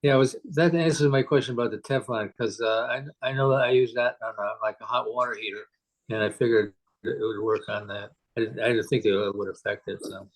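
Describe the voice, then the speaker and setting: A man's voice: lowish voice, fairly even intonation, brisk, poor audio. A man talking casually on a technical group call as a club member asking repair questions, thinking aloud in a hesitant way.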